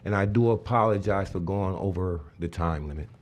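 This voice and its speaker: A man with a fairly low voice gives a public comment in a meeting hall. He halts, stumbles and restarts his sentences. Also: normal speed, a little mellow.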